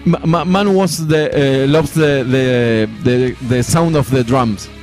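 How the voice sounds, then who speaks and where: A man in his forties whose first language is Spanish, interviewing in halting English with frequent laughs. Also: medium pitch, a little mellow, faint music behind. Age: 40s. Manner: halting, laughing often, repeats words. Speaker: co-host interviewer.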